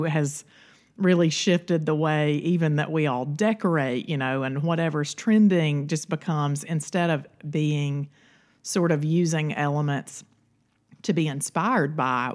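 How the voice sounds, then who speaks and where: Low voiced woman, chatty podcast cohost, casual, lots of little filler phrases. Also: darkish tone. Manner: chatty, peppered with "you know". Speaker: podcast co-host. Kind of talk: casual conversational podcast talk.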